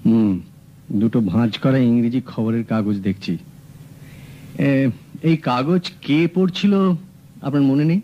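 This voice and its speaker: An actor playing a sharp, calm private detective in a radio drama, questioning a client with measured, probing questions. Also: male voice, mid-range voice, natural voice. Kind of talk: 1970s radio drama acting. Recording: dull lo-fi old radio recording with faint hiss.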